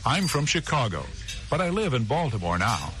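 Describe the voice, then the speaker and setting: A man acting a friendly news reporter in a scripted lesson dialogue, speaking clearly and patiently. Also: mid-range voice, sing-song, moderate pace, a bit bright.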